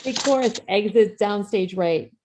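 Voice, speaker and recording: A woman with a medium-pitched voice reads stage directions. Her delivery is plain script narration at a table read. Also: a little slow, noisy background.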